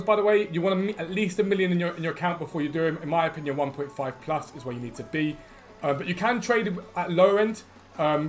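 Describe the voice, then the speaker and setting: Brief: man, hoarse from losing his voice, fairly high-pitched and rapid, casual tutorial delivery over faint music. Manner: quick, casual, reads out prices in runs. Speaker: gaming video creator. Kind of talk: screen-recorded gaming tutorial.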